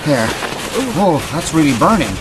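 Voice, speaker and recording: An actor playing a hapless, wisecracking police sidekick, hesitant and wryly sarcastic, in an old-time radio mystery. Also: male voice, on the high side, lively intonation, normal speed, lightly acted. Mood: urgent. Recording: noisy old radio drama recording.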